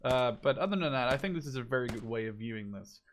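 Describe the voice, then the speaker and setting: Man, casual gameplay commentator, unscripted, informal, thinking aloud, mid-pitched voice. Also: expressive, fast, slight background noise. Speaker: video game spectator commentator.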